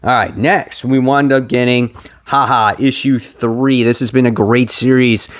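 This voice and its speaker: A man with an average-pitched voice speaks informally to his viewers. He sounds like an enthusiastic hobbyist host, giving relaxed show-and-tell commentary in a chatty, conversational way. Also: measured pace. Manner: enthusiastic, informal fan talk. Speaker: comic book review video host.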